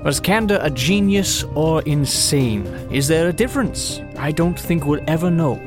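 A man's voice: a little high, lively intonation, moderate pace, music in the background, close to an everyday voice. A man playing a musing, philosophical interviewee in a mock-documentary audio drama. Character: musing, philosophical man pondering a vanished genius.

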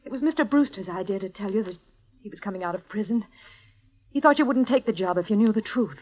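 An actress playing a frightened, desperate wife in a 1950s radio drama, speaking in a breathless, stammering plea, on an old broadcast recording. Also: female voice, medium pitch, expressive, quick pace, muffled recording, close to an everyday voice. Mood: defensive. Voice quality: breathless, pleading, stammering delivery.

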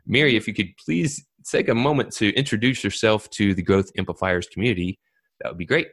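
A man's voice: fairly low pitch, fairly quick, a bit bright. A man hosting a podcast, reading a scripted intro, then chatting loosely and joking with his guest. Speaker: podcast host. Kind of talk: podcast interview.

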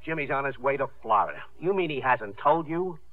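Over a muffled, phone-like old radio broadcast, a folksy sidekick played by a man talks in a mid-range voice. He is plain-spoken and halting, in 1950s radio acting style. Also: medium pitch, expressive, natural voice. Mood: sympathetic, puzzled.

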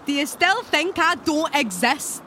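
A woman's voice: high voice, animated, a little slow, pushed and bright, a little hiss or hum, exaggerated voice. A woman playing an ancient, foul-mouthed, hard-drinking female Scottish lake monster in an audio comedy, brash and full of Scots slang. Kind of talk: audio fiction comedy acting. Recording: water and boat ambience behind.

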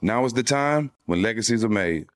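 A man's voice: mid-range voice, animated, moderate pace. A man reading a scripted sports promo in a confident, hyped way. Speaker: promo announcer.

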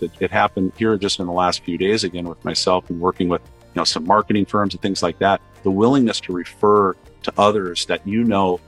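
A baritone-voiced man speaking as a podcast guest in an earnest, reflective way, often repeating phrases as he builds his points. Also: fairly bright tone. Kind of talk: podcast interview, consulting talk.

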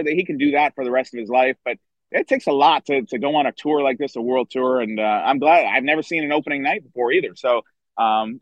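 A middle-aged man, a baseball writer guesting on a podcast, chatting enthusiastically outdoors with a hoarse voice and many restarts. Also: on the high side, no room sound, muffled recording. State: hoarse from screaming at a concert. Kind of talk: casual podcast chat. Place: outdoors by a river.

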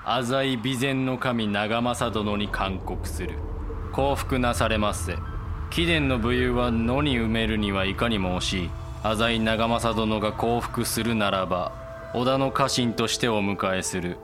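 An actor playing a cold, imperious warlord in a period audio drama, giving terms in formal, archaic, unyielding speech. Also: male voice, fairly low pitch, unhurried, music in the background, close to an everyday voice.

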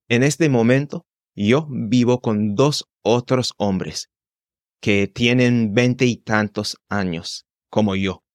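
A man's voice: on the low side, no room sound, clean studio sound. A man in his twenties hosting a solo podcast, speaking careful, unscripted learner's Spanish with small restarts. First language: English. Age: 20s. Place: home in London.

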